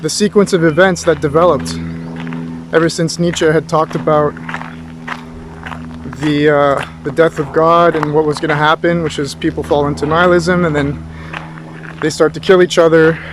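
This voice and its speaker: A man's voice: a little high, fairly even intonation, moderate pace, long pauses, noisy background. A man giving an informal philosophy lecture as a tutor, thinking aloud in a rambling, thoughtful way with rhetorical questions.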